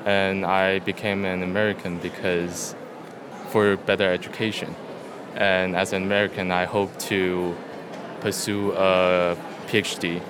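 A man in his twenties from Guangzhou, China, an engineering student, speaking in a flat, matter-of-fact way. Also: sits low, unhurried, noisy background. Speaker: engineering student, new citizen.

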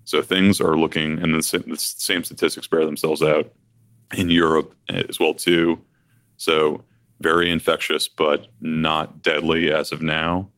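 A man who works as a financial advisor, talking through market news in a deliberate way that thinks aloud. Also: extremely low pitch, little pitch movement, fairly bright tone, no room sound. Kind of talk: conversational finance discussion.

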